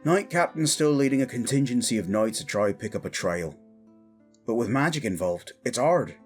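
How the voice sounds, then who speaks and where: A man playing a protective, devoted young commoner guarding royalty in a fantasy audio drama, gruff and intense. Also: medium pitch, animated, normal speed, soft background music, close to an everyday voice. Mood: concerned. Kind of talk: fantasy audio roleplay acting.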